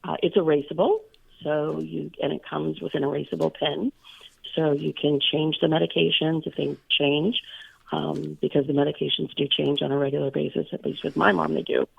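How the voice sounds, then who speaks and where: Raspy hoarse woman on a phone line. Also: low-pitched, level tone, normal speed. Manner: chatty, many fillers. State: hoarse, recovering from illness. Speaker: radio co-host. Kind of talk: casual radio chat.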